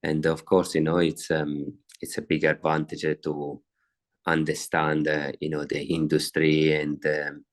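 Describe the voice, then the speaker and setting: A man's voice: a bass voice, completely flat delivery, a little slow. A middle-aged man from Italy with an Italian accent, a racehorse trainer answering interview questions with many fillers and restarts.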